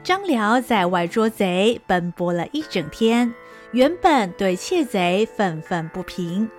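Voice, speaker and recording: A woman narrating a historical radio drama in an expressive storytelling manner. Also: medium pitch, slow, soft background music.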